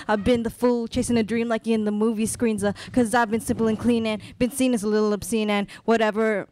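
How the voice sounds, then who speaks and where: A young adult woman performing rhymed a cappella spoken word live on stage. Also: mid-range voice. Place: indoor classroom stage before small audience. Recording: faintly noisy live room recording.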